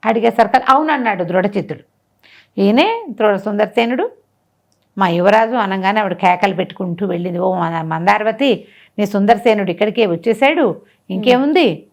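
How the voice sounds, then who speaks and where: A woman's voice: medium pitch, moderate pace, creaky. A woman tells a folk tale conversationally, asking rhetorical questions and acting out the dialogue. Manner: animated retelling with rhetorical questions and acted-out dialogue. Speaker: storyteller.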